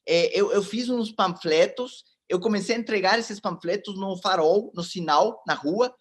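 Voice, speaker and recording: A man in his forties with a fairly high voice, speaking a language that is not his first. He gives a motivational talk as an animated storyteller. He often turns to his listeners and addresses them directly. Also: moderate pace. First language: not Portuguese.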